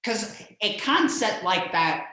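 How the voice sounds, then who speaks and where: A man hosting a casual interview, agreeable and halting, often repeating words as he goes. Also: high voice, very animated, sharp tone, slight background noise. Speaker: host, interviewer. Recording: very echoey, reverberant room.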